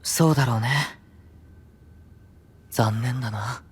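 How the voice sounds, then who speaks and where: An actor playing a calm, enigmatic, stubborn boyish knight, speaking in an unhurried, wistful way in a fantasy audio drama. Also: male voice, medium pitch, slightly rough. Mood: quiet, disappointed. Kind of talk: anime-style fantasy audio drama acting.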